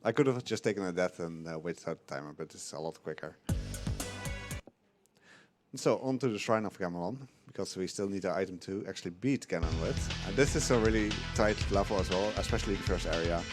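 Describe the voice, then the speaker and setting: A relaxed young man with a lowish voice explains a game casually while he speedruns it at a hotel event and laughs often. Loud game music plays under his commentary. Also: fairly quick.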